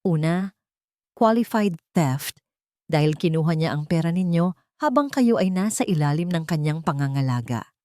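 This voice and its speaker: A woman narrator plays a resolute young man, a lawyer in an audio drama. He speaks firmly and respectfully, measured and protective, in a dry close recording. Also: sits low, lively intonation, warm tone, no room sound. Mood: firm, explaining.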